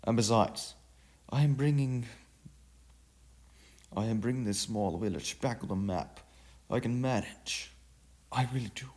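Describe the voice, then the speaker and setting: A man's voice: mid-range voice, a little slow, pauses often, darkish tone, pitched above the performer's own voice, natural voice. A man voicing a proud, defensive young village hunter in a story, insistent and argumentative. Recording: music behind the voice.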